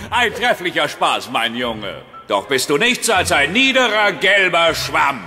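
An actor playing a haughty, vain sea god in a cartoon audio drama, with lofty theatrical diction. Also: male voice, a little high, big-room sound. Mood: scornful amusement.

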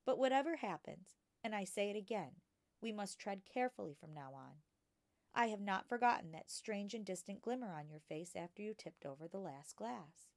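Gentle, cautious female schoolteacher played by a woman reader, storybook style, patient and measured, in a dry space. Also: medium pitch, animated, gravelly, no room sound. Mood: pondering, cautious. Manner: patient, careful, measured explaining. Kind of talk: children's storybook character reading.

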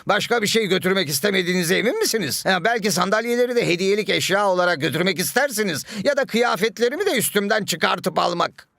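A man's voice: high voice, animated, fast, vocal fry, few pauses, a bit bright, no room sound, clean studio sound, heavily acted. A man voicing a sarcastic, theatrical scientist villain in a children's cartoon. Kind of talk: animated children's cartoon dub. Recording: music behind the dialogue.

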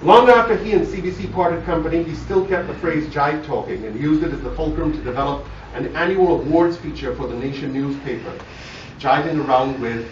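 A man with a Barbadian accent delivers a scripted memorial speech about his father in measured, formal storytelling fashion. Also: a little high, background noise. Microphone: phone camera far from the speaker. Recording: distant, muffled phone recording, echoey room.

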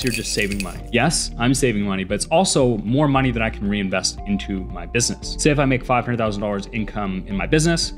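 A young Canadian man talking straight to camera in a matter-of-fact, explanatory way as a vlog host, close to a studio microphone. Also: on the low side. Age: young adult. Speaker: host, e-commerce entrepreneur. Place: small indoor studio room. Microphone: boom-arm broadcast mic close by. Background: soft background music with guitar.

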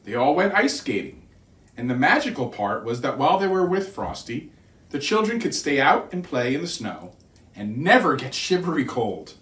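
A middle-aged man reading a children's storybook aloud in an expressive, deliberate storytelling manner. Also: medium pitch. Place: living room by a fireplace, indoors. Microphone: distant camera. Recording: roomy living room, distant mic.

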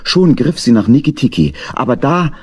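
A man narrating a children's story from a script, with husky, dramatic delivery. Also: mid-range voice, sing-song, moderate pace, heavy background noise.

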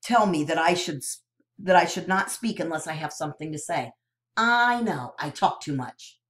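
A woman narrating a novel aloud in the first person, with an expressive storytelling delivery. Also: sits low, moderate pace.

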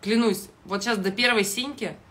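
Blunt young woman with a bright voice. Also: medium pitch, fairly even intonation. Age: young adult. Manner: blunt, heavily sweary, halting delivery. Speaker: reality show commentator. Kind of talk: casual vlog commentary. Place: small kitchen indoors. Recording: noticeable background noise.

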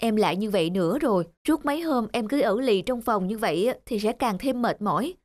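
An actress playing a caring young elder sister in a cartoon drama, speaking in a gentle, earnest, consoling way. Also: female voice, medium pitch, expressive, a little fast, dry recording, natural voice. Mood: coaxing. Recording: prominent background music.

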